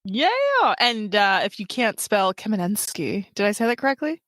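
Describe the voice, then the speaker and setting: A woman's voice: mid-range voice, fairly bright tone, no room sound. A woman hosting a podcast, chatting casually with a guest and laughing as she talks. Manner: chatty, laughing, quick asides. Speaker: podcast host. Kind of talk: casual interview chat.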